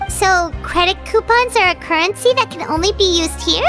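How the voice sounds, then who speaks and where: A woman voicing a small, childlike, excitable and easily scared fairy companion in a video game, with a squeaky voice. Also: normal speed. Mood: puzzled. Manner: refers to self in third person, exclamatory, hesitant. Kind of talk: video game character acting. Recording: background music throughout.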